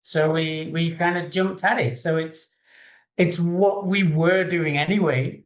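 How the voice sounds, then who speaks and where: A man's voice: a little high, a little slow. Middle-aged British-born man, muffled echo. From United Kingdom. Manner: relaxed, hedging with 'kind of'. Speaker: guest. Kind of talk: casual interview. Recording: echoey and muffled.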